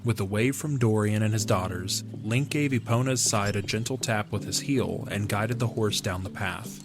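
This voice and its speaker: A man's voice: on the low side, moderate pace. A man reading scripted narration for a fantasy audio drama. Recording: music under the voice. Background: music.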